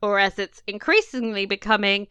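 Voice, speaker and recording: Bright-voiced English woman podcast host. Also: mid-range voice, expressive, moderate pace, clean tone, no echo. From England. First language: not French. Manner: chatty, laughs often, swears casually. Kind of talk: casual chat.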